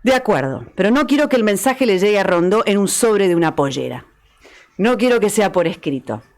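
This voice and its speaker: A woman's voice: mid-range voice, big pitch swings, brisk. A woman with an Argentine accent voicing a wary, proud male gaucho governor in a dramatized radio reading. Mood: reluctant. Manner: reluctant, doubtful.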